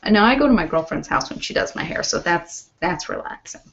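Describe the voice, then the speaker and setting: A woman's voice: on the low side, lively intonation, a little fast. A woman chatting casually in a rambling, informal way as an ASMR host. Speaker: ASMR host and massage therapist. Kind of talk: casual live chat. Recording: dull, lo-fi sound.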